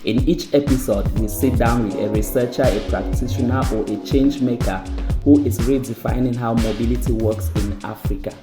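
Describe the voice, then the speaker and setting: A man's voice: medium pitch, fairly even intonation. A man from Cameroon hosting a transport podcast, giving a formal scripted introduction and asking interview questions. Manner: formal, measured delivery. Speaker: podcast host. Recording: echoey room with music bed.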